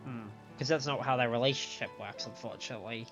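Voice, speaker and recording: Male game streamer, mid-pitched, measured read-aloud and commentary, slightly muffled stream sound over music. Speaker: livestream host playing and reading a game story aloud. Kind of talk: live game commentary, reading dialogue aloud.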